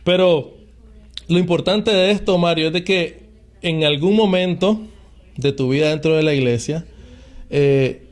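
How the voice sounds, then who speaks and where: A man hosts a faith interview in a slightly higher-than-average voice. He talks in a chatty, easy way and drops in little affirmations while his guest speaks. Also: measured pace, pauses often. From Nicaragua. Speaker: host.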